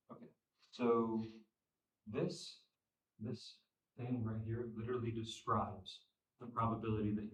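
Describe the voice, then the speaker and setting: Young male lecturer, low voice, a bit hoarse, echoey classroom heard from a distant camera. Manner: repeats phrases, checks understanding with "right?". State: losing his voice, clears throat. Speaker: statistics instructor. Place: classroom at a whiteboard. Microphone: far camera. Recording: echoey room, from far off.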